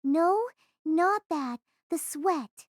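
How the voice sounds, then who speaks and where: Lady doing a shy sweet small girl, really high soft voice, hesitant, audio drama. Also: lively intonation, very slow, no echo, clean studio sound, exaggerated voice. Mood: concerned. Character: gentle, shy six-year-old girl, boy's friend.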